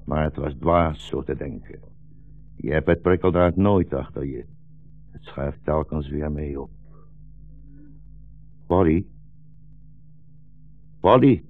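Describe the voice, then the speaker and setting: Deep, gravelly, weary old rabbi. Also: male voice, slow pace, pauses often, no echo, soft background music, full character voice. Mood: weary resignation, then anxious. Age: older. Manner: solemn, brooding, declamatory. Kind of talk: 1960s Dutch radio drama acting. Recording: dull, muffled 1960s radio recording.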